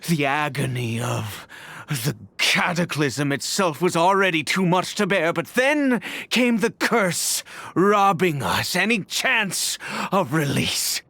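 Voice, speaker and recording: An actor playing a weary, bitter, cursed immortal nobleman and grieving father in a video game, with a theatrical, halting, anguished delivery. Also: a little high, lively intonation, gravelly, pushed and bright, dry recording, full character voice. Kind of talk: dramatic video game voice acting.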